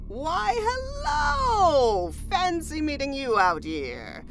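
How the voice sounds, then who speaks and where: A woman's voice: high voice, very animated, extremely slow, creaky, over music, heavily acted. A woman playing an eccentric, cryptic hermit seer in a fantasy audio drama, theatrical and riddling. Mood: playful, whimsical.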